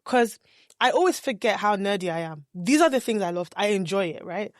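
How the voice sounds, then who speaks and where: A woman's voice: mid-range voice, lively intonation, a little fast, dry recording, studio quality. A woman co-hosting a podcast in casual chat, chatty and rambling with many restarts. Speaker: podcast co-host.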